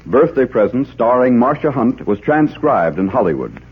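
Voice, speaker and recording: A man announcing a 1940s radio show in a suspenseful, deliberate, theatrical style. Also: mid-range voice, big pitch swings, breathy edge. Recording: muffled old radio transcription. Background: dramatic music.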